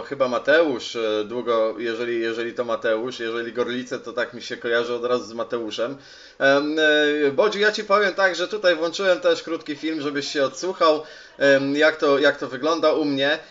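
A man talking casually as a radio operator on a contact, with a hesitant, halting delivery, recorded on a phone indoors. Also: medium pitch, fairly bright tone. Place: small indoor room at home. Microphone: handheld phone filming the radio. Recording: dull, lo-fi phone video sound.

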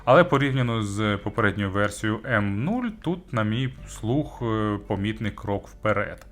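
A man's voice: lowish voice, lively intonation, normal speed. A man giving a conversational product review as host, searching for words and adding informal asides. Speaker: audio gear reviewer and host.

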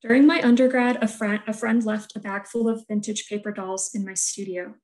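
A woman whose first language is Polish, reading a scripted artist talk about her work in a soft, measured, reflective way over earbuds on a video call. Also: mid-range voice, steady tone. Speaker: art student presenting her thesis work. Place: room at home, indoors.

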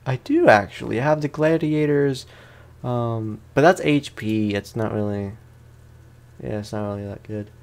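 A man's voice: mid-range voice, unhurried, a little mellow. Casual slangy male gaming streamer. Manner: slangy, informal, repeats phrases. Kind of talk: casual let's play commentary.

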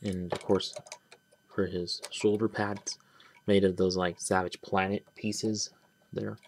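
A man giving a casual, rambling toy review, pausing and restarting often as he handles the pieces. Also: deep voice, little pitch movement, background noise. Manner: rambling, halting, many restarts and trailing "but yeah". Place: small indoor room at a table. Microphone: camera close on hands, speaker off-frame.

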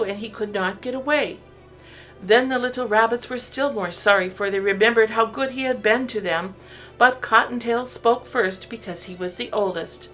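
A woman narrating a children's story from a script, in an expressive, deliberate storytelling way. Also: on the high side, low-quality recording.

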